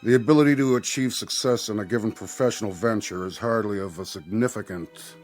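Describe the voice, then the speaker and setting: An actor playing Death as a polite, exacting bureaucrat in a radio drama, speaking in a formal, measured way. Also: male voice, on the low side, darkish tone, faint music behind, natural voice. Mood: formal, apologetic.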